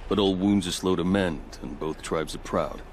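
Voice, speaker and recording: A man's voice: sits low, moderate pace, slight background noise, natural voice. A man playing a dutiful, diplomatic guard captain in a video game, courteous and formal in his speech. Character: composed male gate captain, diplomatic and dutiful. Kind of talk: dramatic video game acting. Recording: music behind the voice.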